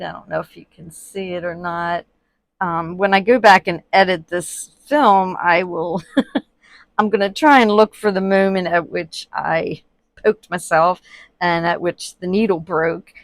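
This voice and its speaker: A woman chatting casually while working at a table, with many fillers, softly murmuring and sniffling a little from allergies. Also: on the low side, measured pace, no echo. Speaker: reborn doll artist. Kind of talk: casual crafting tutorial vlog. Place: indoors at a worktable.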